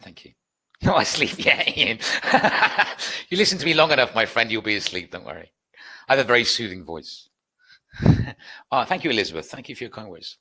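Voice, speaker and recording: Upbeat British male webinar host, bright, forceful delivery, chatty Q&A. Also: medium pitch. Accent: British English. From United Kingdom. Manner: chatty, upbeat, reads out and answers viewer requests. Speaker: trading educator hosting a webinar. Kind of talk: live webinar Q&A.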